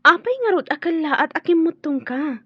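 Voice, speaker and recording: A woman's voice: high voice, animated, moderate pace, no echo. An actress playing a blunt young woman pressing another about her feelings, in a radio drama. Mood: prodding. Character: young sister-in-law, blunt and prodding. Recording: dry muffled studio audio.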